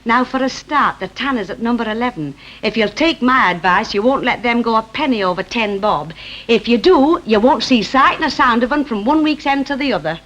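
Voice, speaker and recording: An actress playing a seasoned, plain-spoken middle-aged corner shop owner with a Northern English accent in a 1960s TV drama. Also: female voice, fairly high pitch, animated, fairly bright tone, dull audio. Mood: cautioning. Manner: brisk, advising, matter-of-fact. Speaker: actress in a TV soap. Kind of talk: 1960s British TV drama acting. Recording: faintly noisy old 1960 TV soundtrack.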